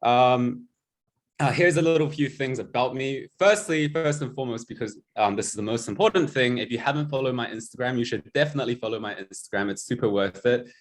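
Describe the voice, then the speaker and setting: A man's voice: mid-range voice, a little fast. A young man in his twenties with a New Zealand accent, giving a casual, self-mocking presentation over a video call with lots of ums. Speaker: guest presenter, tutor.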